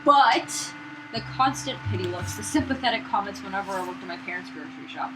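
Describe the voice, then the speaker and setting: A woman reading a visual novel aloud and bantering casually, with animated, slangy reactions. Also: medium pitch, fairly quick. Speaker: let's play co-host. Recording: noisy makeshift mic, room ambience.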